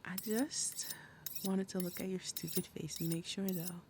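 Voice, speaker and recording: Low-voiced, menacing demon mother, acted by a woman. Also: fairly even intonation, noisy background, lightly acted. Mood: tense, interrogating. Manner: falsely sweet, deliberate, pointed pauses, drops to breathy murmurs. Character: big menacing possessive demon mother, sweetly threatening. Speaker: roleplay audio performer. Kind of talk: ASMR roleplay, acted monologue.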